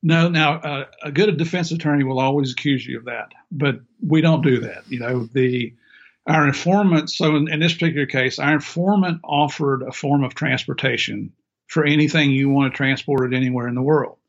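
A man's voice: medium pitch, fairly quick, gravelly, highs cut off. An older man with a country Southern accent from Tennessee and West Virginia, telling stories as an interview guest in a folksy, self-deprecating way. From Middle Tennessee and Southern West Virginia, USA. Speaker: former drug enforcement agent, interview guest. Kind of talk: casual interview storytelling.

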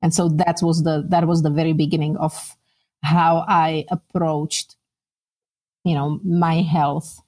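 A woman's voice: deep voice, flat delivery, slow pace, a little mellow. A middle-aged woman from the Czech Republic with a Czech accent, sharing personal recollections conversationally as an interview guest.

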